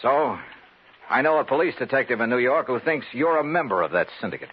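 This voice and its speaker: Brisk radio gumshoe: quick, animated, clipped and pushy, on a dull vintage recording. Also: male voice, mid-range voice, slightly rough. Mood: businesslike. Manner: clipped, pointed, interrogating. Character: brisk, hard-pressing male insurance investigator narrating his case. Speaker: lead actor and narrator. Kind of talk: 1950s radio detective acting.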